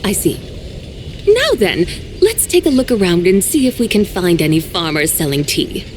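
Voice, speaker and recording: A woman playing a serene, eloquent immortal in a video game, speaking in a formal, poetic, measured manner. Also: on the low side, big pitch swings, a little hiss or hum. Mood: composed, frank.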